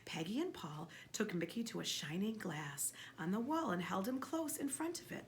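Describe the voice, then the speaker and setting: A woman reading a children's story aloud expressively and emphatically, pausing to ask a child questions. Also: medium pitch, slight background noise. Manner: expressive, emphatic, with repeated words for effect.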